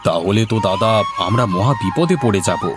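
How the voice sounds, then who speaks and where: A man's voice: fairly low pitch, very animated, fairly quick, loud music behind the voice. A man voicing a kindly, helpful village friend in a cartoon, speaking in a reassuring, advising way. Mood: concerned, advising. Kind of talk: Bengali cartoon dub.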